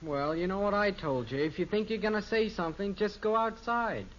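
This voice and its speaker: A man's voice: sits high, fairly quick, a bit bright. A man playing a teasing, defensive teenage brother in a 1950s American radio sitcom, with an American accent. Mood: grumbling. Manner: wisecracking, defensive. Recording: dull old radio recording.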